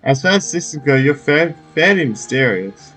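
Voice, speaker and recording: A man's voice: on the high side, very animated, normal speed, a bit bright, rough recording. A man giving casual gameplay commentary while playing a story quest, sometimes reading dialogue choices aloud. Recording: faint game music behind.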